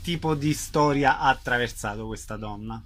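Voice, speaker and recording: Bright-voiced man hosting radio. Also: medium pitch, normal speed. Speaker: radio host interviewing a guest. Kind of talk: radio arts interview.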